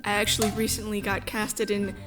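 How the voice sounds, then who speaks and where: A woman's voice: mid-range voice, monotone, fairly quick, music in the background. A young woman talking casually as an interview guest, giggly and self-deprecating. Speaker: guest, amateur voice actor. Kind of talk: casual chat.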